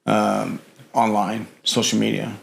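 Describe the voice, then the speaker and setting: Gravelly low-voiced middle-aged man. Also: level tone, slow pace. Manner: rambling, lots of fillers and "you know". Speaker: podcast guest. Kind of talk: casual interview chat.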